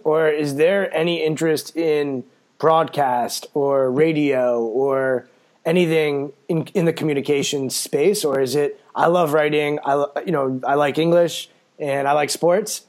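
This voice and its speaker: A man with a raspy voice hosting a podcast, asking questions in a friendly, self-deprecating way. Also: fairly high pitch, darkish tone. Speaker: podcast host. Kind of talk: casual interview talk.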